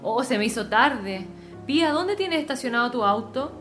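A plain-spoken working-class Chilean woman played by an actress for radio theatre. Her voice is high and her manner casual and friendly, over faint music. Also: expressive, normal speed, fairly bright tone. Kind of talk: radio drama acting.

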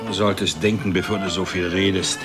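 An actor playing a smug, bullying middle-class husband in a radio play, with a sneering, condescending, grumbling delivery. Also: male voice, low voice, steady tone, a little fast, loud music behind the voice, close to an everyday voice. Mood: annoyed, bragging.

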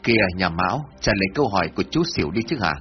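A man voicing a calm older village headman who questions and coaxes in a measured tone. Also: low-pitched. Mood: coaxing. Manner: measured, coaxing. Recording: dull lo-fi with faint music.

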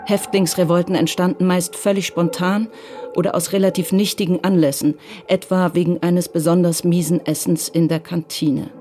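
A woman narrating a radio crime drama in a calm, measured way. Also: fairly low pitch.

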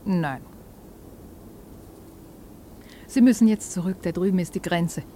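A woman's voice: on the low side, brisk, mellow, a little hiss or hum, natural voice. A woman playing a guarded but tender young woman in love in a German radio drama, terse and restrained. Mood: anxious, firm. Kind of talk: 1950s-set German radio play acting.

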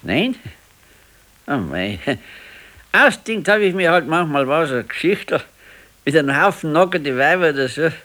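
A man's voice: fairly high pitch, sing-song, a little slow, long pauses, sharp tone, exaggerated voice. An actor playing a sickly, wistful grandfather in his sixties in a radio play, musing in short colloquial phrases with a Bavarian accent. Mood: defensive, sheepish. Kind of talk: naturalistic radio play dialogue.

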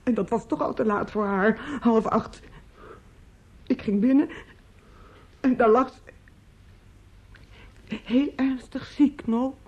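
An actress in a Dutch radio play playing an anguished, talkative female relative who breaks terrible news, theatrical, halting and pleading. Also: a little high, darkish tone, slightly rough. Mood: grave, distressed. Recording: muffled, noisy old radio play recording.

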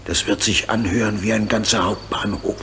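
Reassuring grown-up engine driver, unhurried adventurer's calm, children's radio drama, noisy outdoor-sounding backdrop. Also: male voice, on the low side. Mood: reassuring, musing. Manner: steady, matter-of-fact, reassuring. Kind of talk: children's radio play acting. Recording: noisy radio play with chirping ambience.

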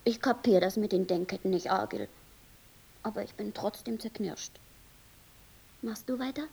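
Soft, husky naive angel. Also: female voice, medium pitch, normal speed. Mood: contrite. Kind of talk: radio play acting.

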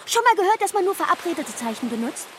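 A woman's voice: very high-pitched, sing-song, fast. An actress playing a volatile, insistent woman diving student in a radio drama, with urgent, emotional delivery. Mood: indignant, accusing. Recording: faint noise and ambience behind.

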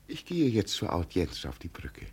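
Husky, swooping old peasant emperor. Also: male voice, low-pitched, huge pitch swings, moderate pace, exaggerated voice. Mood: earnest, wistful. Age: older. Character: deluded old peasant who believes himself emperor, gentle, wistful. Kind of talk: 1950 German radio play acting. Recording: vintage 1950 radio recording.

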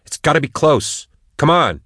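A man's voice: a little high, animated, moderate pace, bright, forceful tone, dry recording, studio quality, voice pushed up. A man voicing a tough, short-tempered woman leader in an audiobook, barking out demands. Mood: urgent.

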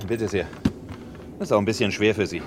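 A man's voice: brisk, natural voice. An actor playing a courteous, inquisitive middle-aged male traveler in a 1960s radio drama. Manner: polite, conversational, inquisitive. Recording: 1960s mono radio, loud train noise. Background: rumbling train ride.

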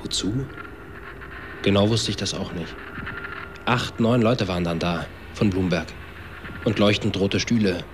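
A man's voice: deep voice, measured pace, long pauses, noisy background. An actor playing a cocky young man who recounts events in a slangy, clipped, matter-of-fact way in a radio drama. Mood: uneasy recall. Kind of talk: German radio drama acting, confessional narration. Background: music.

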